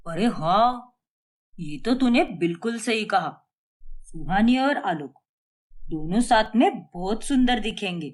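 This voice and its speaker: A woman voicing a middle-aged father in an animated storybook, agreeable and reassuring. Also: mid-range voice, slow pace. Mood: agreeing.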